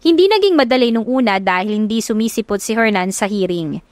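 A woman's voice: medium pitch, lively intonation, brisk, darkish tone, no room sound. A woman reading scripted first-person romance narration as an audiobook narrator. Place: close, dry recording. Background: faint music.

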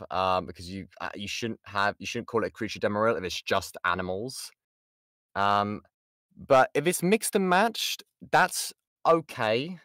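A man's voice: on the low side, fairly bright tone, clear, resonant tone, no room sound, clean studio sound. A British man giving an informal voice acting lesson and answering questions, often restarting his sentences and stressing key points. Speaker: voice actor giving a workshop. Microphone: close mic on an online voice chat.

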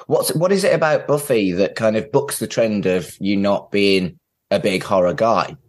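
Lively, swooping male podcast host. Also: fairly low pitch, moderate pace. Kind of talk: casual podcast chat.

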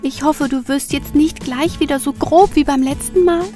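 A woman voicing a cheerful, gentle young goddess in an amateur radio play, speaking softly and coaxingly. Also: high-pitched, normal speed, soft background music, natural voice. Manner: soft and coaxing.

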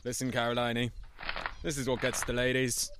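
An actor playing a cocky, boastful husband. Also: male voice, medium pitch, monotone, normal speed, background noise, natural voice. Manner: boastful, colloquial, chuckling swagger. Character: boastful, brash self-made husband, vulgar and cocky. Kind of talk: radio play acting.

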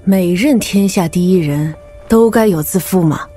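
An actress playing a composed, probing martial arts elder in an audio drama, speaking gravely and with measure. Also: female voice, lowish voice, moderate pace, warm tone, soft background music, lightly acted.

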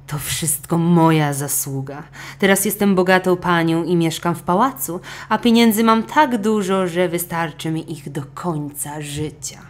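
A woman's voice: fairly low pitch, a little slow, hardly any pauses, close to an everyday voice. Haughty, demanding queenly wife voiced by a woman. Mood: boastful. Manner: imperious, scornful, exclaiming. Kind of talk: children's storybook acting.

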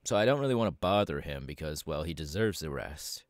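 A man voicing a laid-back, cocky young winged superhero in a casual ASMR audio roleplay, talking slangily with hesitant pauses. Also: extremely low pitch, animated, no echo, studio quality. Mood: musing, easygoing.

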